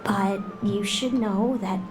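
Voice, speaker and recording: A woman's voice: mid-range voice, fairly even intonation, takes it slowly, noisy background, natural voice. An actress playing a proud, caring young woman competitor in a video game, speaking with confident expression. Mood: earnest, caring. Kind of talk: video game character acting. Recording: game music behind the voice.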